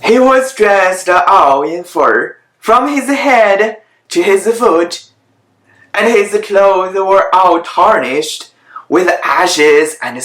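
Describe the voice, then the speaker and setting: Slow, echoey children's storybook reader. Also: mid-range voice, fairly bright tone. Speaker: host reading children's stories aloud. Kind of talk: read-aloud of children's Christmas stories with off-the-cuff asides. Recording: roomy, echoing home recording.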